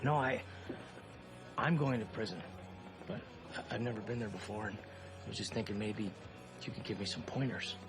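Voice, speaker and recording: A man's voice: fairly low pitch, fairly even intonation, moderate pace, long pauses, close to an everyday voice. An actor playing a selfish, glib businessman who is facing prison, in a whiny, self-justifying manner for a comedy film. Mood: nervous, glum. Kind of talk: live-action comedy film acting. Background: music.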